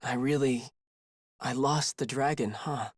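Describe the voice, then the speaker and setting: A man's voice: medium pitch, a little slow, dry recording, close to an everyday voice. An actor voicing a kind, earnest teenage boy hero in a video game, sincere and pleading. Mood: urgent, defiant. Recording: loud music behind the voice.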